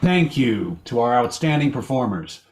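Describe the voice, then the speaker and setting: A man's voice: medium pitch, big pitch swings, normal speed, darkish tone, soft background music. A man reading a scripted announcement as the presenter of a vintage-style radio show. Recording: roomy, echoing voice track.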